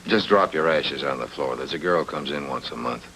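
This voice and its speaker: An actor playing a cool, wisecracking, world-weary private detective in clipped old-time radio noir style. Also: male voice, extremely low pitch, moderate pace, fairly bright tone, slight background noise, rough recording. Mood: sardonic.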